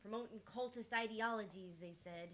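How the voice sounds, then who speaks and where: A woman's voice: mid-range voice, moderate pace, lightly acted. A woman voicing a brash, foul-mouthed young witch detective in a visual novel, irreverent and slangy. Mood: sarcastic. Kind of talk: acted reading of visual novel dialogue.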